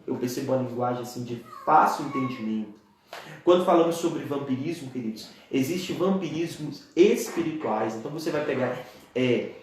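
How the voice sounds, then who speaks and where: A man's voice: fairly high pitch, heavy reverb. Earnest young Brazilian man preaching. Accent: Brazilian Portuguese. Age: 20s. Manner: earnest, keeps addressing listeners as dear ones. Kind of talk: church teaching talk on a live stream.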